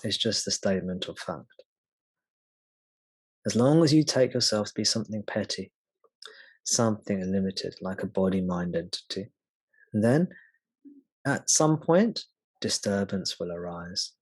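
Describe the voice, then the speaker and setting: A man guiding a meditation as a spiritual teacher, speaking gently and unhurriedly with long pauses. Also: on the low side, lively intonation, husky, no echo, low-quality recording. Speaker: spiritual teacher leading satsang. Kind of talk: slow guided meditation.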